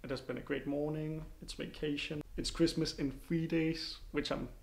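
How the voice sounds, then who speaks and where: A young man, chatting casually to a handheld camera about his training day, relaxed and full of fillers. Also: on the high side, normal speed. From Denmark. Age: young adult. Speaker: kayak athlete vlogger. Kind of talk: casual vlog narration. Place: apartment living room indoors, then car and outdoors. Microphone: handheld camera at arm's length.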